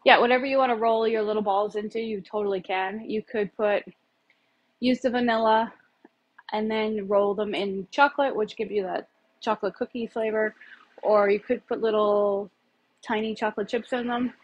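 A woman's voice: mid-range voice, steady tone, moderate pace, dull audio. A woman in her thirties chatting casually as she cooks in a home kitchen, rambling with lots of fillers, recorded on a phone on the counter. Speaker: home cook vlogger. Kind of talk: casual cooking demo. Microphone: phone camera on the counter.

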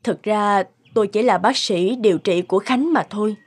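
A woman playing an earnest, sympathetic doctor in a cartoon drama, speaking in a measured, composed way. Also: medium pitch, husky, close to an everyday voice. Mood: serious.

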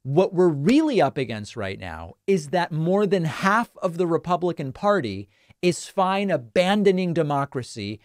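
Male host in his 30s, higher-pitched, dry studio mic, measured and explanatory. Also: very animated, no echo. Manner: measured, explanatory, lists points methodically. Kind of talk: call-in political talk show. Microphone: studio broadcast mic.

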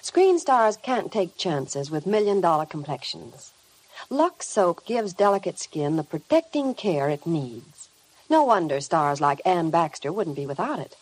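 Lively low-pitched American radio woman. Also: unhurried, breathy edge, low-quality recording. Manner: gushing, promotional. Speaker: Hollywood radio reporter. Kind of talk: scripted 1950 radio ad and movie news.

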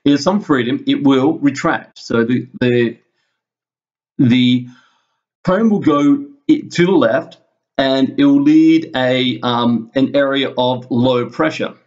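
A man's voice: medium pitch, darkish tone. Dull-sounding recording of a man teaching. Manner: thinks aloud, halting step-by-step explaining. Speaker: physics teacher. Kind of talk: informal screen-recorded physics lesson explanation. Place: indoors, screen-recorded voice-over at a computer.

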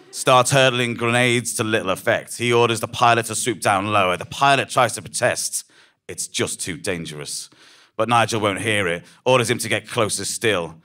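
A man reading scripted dramatic narration live on stage, in a measured, deadpan manner. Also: on the low side.

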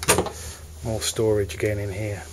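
A man with an English accent walks through the cabins of a moored motor yacht, narrating a sales tour in a relaxed, hesitant way. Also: on the low side, normal speed, very noisy. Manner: relaxed, hesitant, with fillers and restarts. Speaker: yacht broker. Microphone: handheld camera mic.

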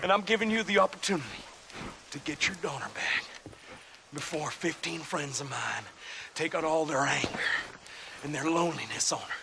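An actor voicing a cocky, menacing, gleeful outlaw in a video game, with a mocking Western American drawl. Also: male voice, on the high side, normal speed, vocal fry, long pauses, bright, forceful tone, a little hiss or hum. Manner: drawling, mocking sing-song calls. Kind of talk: video game cutscene acting.

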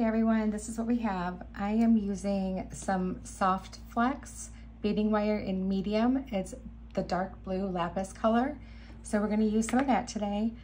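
Woman, casual crafting host, mid-pitch, gravelly and slightly hoarse. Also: a little hiss or hum. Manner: chatty, enthusiastic, talks while working. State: slightly hoarse, clearing throat. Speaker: beading channel host. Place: indoor craft table. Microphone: camera above table, hands only.

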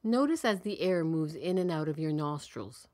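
Low-pitched woman guiding a calm meditation. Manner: calm, soothing, measured delivery with long pauses. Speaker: meditation guide. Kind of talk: guided meditation narration.

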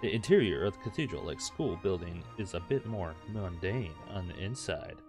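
A man with a fairly low voice reads a visual novel aloud as a gaming host. He is irreverent and crude, breaking off for joking asides, with clearly audible music playing behind him. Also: expressive, moderate pace. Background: game music.

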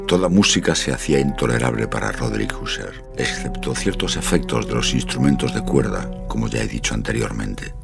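An actor playing a grave gentleman who recalls events in measured literary narration for an audio drama. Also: extremely low pitch, expressive, vocal fry, over music, exaggerated voice. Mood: somber, reflective. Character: somber male narrator, uneasy gentleman friend recalling events.

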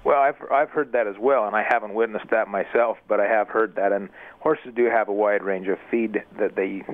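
A male expert guest speaking in a measured, methodical way, explaining the details of his work. His voice is somewhat low and reaches the show over a thin, tinny phone line. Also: steady tone. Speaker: equine dentist guest. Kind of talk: explanatory radio interview.

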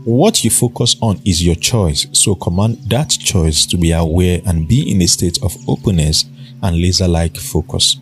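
A man from Nigeria guiding a meditation with calm, measured affirmations and long pauses. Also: deep voice, faint music behind. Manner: slow, soothing, measured delivery with pauses. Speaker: meditation guide.

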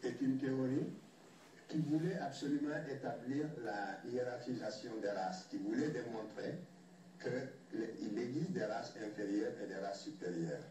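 A man's voice: mid-range voice, normal speed, distant mic, noisy background. Man in a film clip in an echoey hall. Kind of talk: lecture-like explanation.

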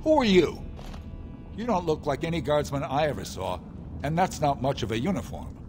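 An actor voicing a sly middle-aged male dwarf smuggler in a video game, making a wheedling sales pitch. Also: sing-song, moderate pace. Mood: suspicious. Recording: game audio with music behind.

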